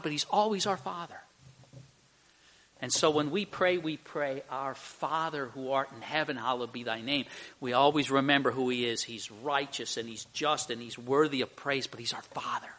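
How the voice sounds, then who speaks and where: Bright, forceful male preacher. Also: medium pitch. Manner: earnest, emphatic, with repeated phrases and rhetorical questions. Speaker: pastor. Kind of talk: expository sermon with prayer.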